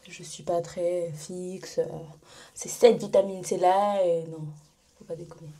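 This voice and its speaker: Young woman, casual vlog chatter, lowish mellow voice, rambling with fillers. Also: steady tone, a little slow. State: stuffy from pollen allergy. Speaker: vlogger. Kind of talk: casual vlog chat to camera. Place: bedroom at home, then small residence gym.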